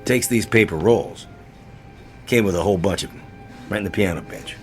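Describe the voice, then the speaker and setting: Casting: male actor as a grumpy, unimpressed shop owner for radio drama, lowish pitch, clipped casual phrasing, faint music behind. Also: moderate pace, lightly acted. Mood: grudging. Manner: blunt, terse, casual, unimpressed. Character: gruff, curt male junk shop owner, surly misanthrope.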